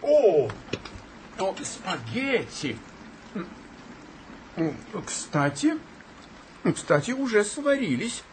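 A man's voice: high-pitched, wildly swooping pitch, extremely slow, pauses often, a little hiss or hum, full character voice, low-quality recording. An actor in a radio play as a whimsical, theatrical boss who savours his words and swings between stern and delighted. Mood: whimsical, intrigued.

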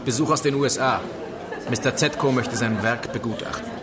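A man's voice: medium pitch, fairly quick, natural voice. An actor playing a sly, sardonic film-world man who needles others theatrically in a radio drama. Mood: sly, taunting. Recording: busy crowd chatter behind.

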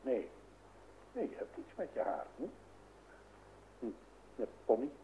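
A man's voice: mid-range voice, sing-song, measured pace, mellow. An actor playing a grumbling, jealous old husband and father in a radio play, stammering and repeating himself. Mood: distracted. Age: older. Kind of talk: Dutch radio play acting. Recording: tinny band-limited old radio recording, noisy.

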